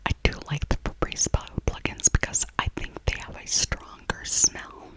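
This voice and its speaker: A woman speaking in a breathy whisper, narrating casually in ASMR style and softly repeating her phrases. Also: moderate pace, rough recording. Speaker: ASMR video creator narrating a shopping trip.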